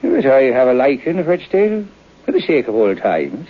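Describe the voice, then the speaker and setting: A man's voice: medium pitch, wildly swooping pitch, moderate pace. An actor playing a morbid, garrulous old Irish local man of seventy-nine in an old radio drama, cackling, folksy and deferential. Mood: reminiscing. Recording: dull lo-fi old radio recording.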